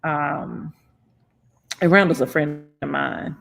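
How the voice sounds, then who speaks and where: A livestream host from Florida talks through viewer comments in a casual political chat. The voice sits at a middle pitch with a gravelly, creaky edge. The speaker laughs often and slows right down to press key points. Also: steady tone, a bit bright.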